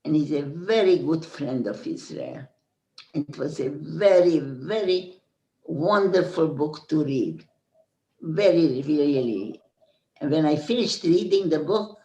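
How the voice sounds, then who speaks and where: A woman in her nineties with a Hungarian accent, giving slow, halting testimony as a survivor. She often restarts phrases and checks in with "Okay?". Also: low voice, dark tone. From Hungarian-speaking Central Europe. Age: 90s. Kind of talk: slow personal recollection. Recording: roomy echo.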